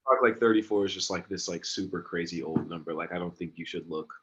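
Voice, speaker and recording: A man's voice: low-pitched, fast, a bit bright, lo-fi sound. A man in his twenties, a singer guest in an interview, talking in a laid-back way with many ums and likes. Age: 20s. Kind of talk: casual interview.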